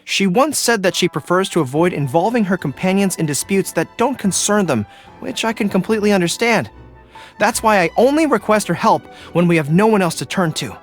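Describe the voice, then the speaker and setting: Animated, earnest young dog-eared general. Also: male voice, sits high, fairly quick, faint music behind. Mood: admiring. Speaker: military general. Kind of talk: video game character voice acting.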